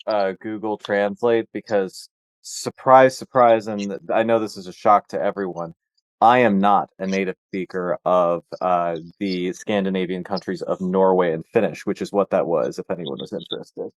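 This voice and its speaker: A man's voice: sits low, fairly even intonation, normal speed, no room sound, poor audio. A man guesting on a casual podcast, joking and rambling with asides.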